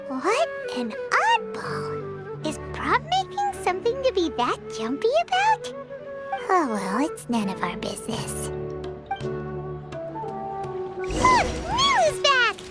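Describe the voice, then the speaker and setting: A tiny, nosy female sprite bursts through a game cutscene with a very high, wildly swooping voice. She is excitable and blunt, commenting on everything around her. Music plays loudly behind her. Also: a little slow, exaggerated voice. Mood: curious. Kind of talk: video game voice acting.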